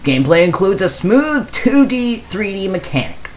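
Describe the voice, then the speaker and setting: Casual gaming vlogger, mid-range voice with very lively intonation, reads aloud haltingly with stumbles. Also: medium pitch, a little hiss or hum. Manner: halting, stumbles over words while reading. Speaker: gaming video creator. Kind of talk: casual commentary, reading aloud a post.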